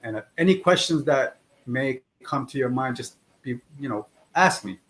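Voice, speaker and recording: A middle-aged man speaks as a podcast guest at an ordinary pitch. He is modest and earnest, choosing his words in a measured, conversational way, with faint noise behind him. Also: expressive, a bit bright. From born in Chile. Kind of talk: conversational interview answers.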